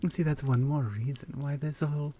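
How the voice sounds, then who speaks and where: A woman voicing a flirty, confident lioness in an intimate, teasing ASMR roleplay, softly coaxing and often slipping toward a whisper. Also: sits low, brisk. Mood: playful, teasing. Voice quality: soft, breathy. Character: flirty, confident, forward adult lioness armorsmith.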